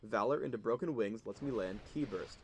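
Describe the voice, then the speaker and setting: A man's voice: lowish voice, a little fast, a loud crowd. A man presenting a game spotlight in scripted, instructional tutorial narration. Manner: instructional, explanatory.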